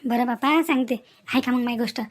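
Cartoon young village wife, played by a woman: very high, fast, scolding and scheming, rural Vidarbha Marathi accent, piles up rhetorical questions. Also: natural voice. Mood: sly coaxing. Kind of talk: Marathi cartoon family-drama dialogue.

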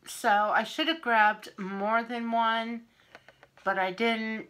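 A middle-aged woman from Pennsylvania, a little wheezy and often clearing her throat, chatting through a craft haul on camera in her home kitchen. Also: a little high, fairly bright tone. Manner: chatty, unhurried, friendly show-and-tell. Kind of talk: casual haul video. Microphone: camera in front at arm's length.

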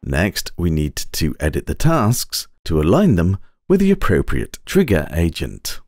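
A man reading scripted instructional narration for a software tutorial, in a measured, precise, step-by-step manner. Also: low voice, huge pitch swings, no room sound, clean studio sound. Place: close, dry voice-over recording.

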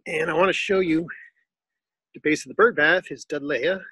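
A man giving a casual tour of his backyard garden, explaining plants in a relaxed, informative way with some fillers. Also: fairly high pitch, moderate pace, slightly rough, no room sound, rough recording.

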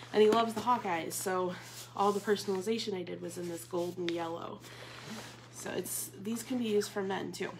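A woman in her thirties casually showing off a tote bag live from her living room, talking chattily with lots of ums. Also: mid-range voice. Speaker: bag consultant demonstrating products. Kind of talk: live product demo. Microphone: phone or webcam at table distance. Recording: noisy Facebook Live stream.

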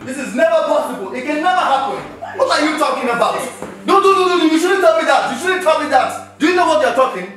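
A man with a Nigerian accent playing an angry, jealous husband who shouts and repeats himself, recorded in an echoey room away from the mic with music behind. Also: very high-pitched, expressive, fast talker, few pauses, distant mic. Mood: outraged. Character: angry jealous husband protesting wife's job. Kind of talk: comedy skit acting.

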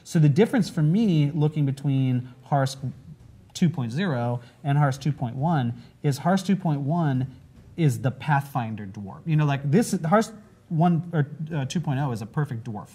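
A man's voice: a little high, expressive, moderate pace, dark tone, husky. A man in his forties hosting a conversational on-camera interview, seated indoors. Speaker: host, game company creative director.

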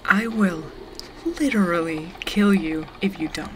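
An actress playing a pushy, teasing coffee shop worker in an audio drama, blunt and sardonic. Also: female voice, on the low side, unhurried, natural voice. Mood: pushy, threatening playfully. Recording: noisy background ambience.

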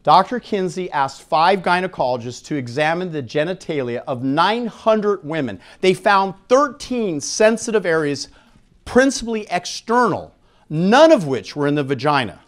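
Middle-aged American male seminar speaker, pitch a bit above average, handheld mic, frank public-lecture delivery. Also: very animated, normal speed. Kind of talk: public lecture with questions to the audience. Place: conference room at an expo, live audience. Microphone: handheld microphone.

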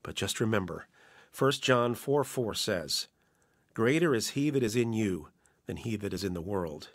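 Encouraging mentor, fairly low voice, measured, gentle, radio drama, dry close sound. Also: male voice, animated, no room sound. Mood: reassuring, encouraging.

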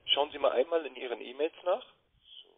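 A man's voice: medium pitch, moderate pace, husky, dry recording. Male sales caller on a muffled phone line.

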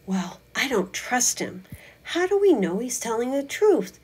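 A bossy fox from a children's storybook, read by a woman. It speaks bluntly and assertively with a slightly rough edge, full of distrust and accusation. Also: on the high side, big pitch swings, measured pace, darkish tone, pitched above the performer's own voice, heavily acted. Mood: distrustful. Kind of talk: storybook read-aloud character voice.

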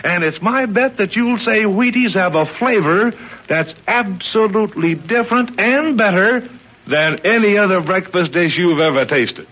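High-pitched old-time male radio announcer. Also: lively intonation, measured pace, a bit bright. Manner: chummy, emphatic sales patter. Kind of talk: 1940s radio announcing, scripted narration. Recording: dull old radio recording.

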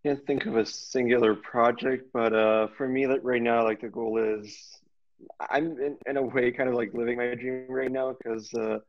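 A young man from Austria speaks casually on an online panel, somewhat hesitant. His voice is creaky and even in pitch, with dull video-call sound. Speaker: panelist, film worker.

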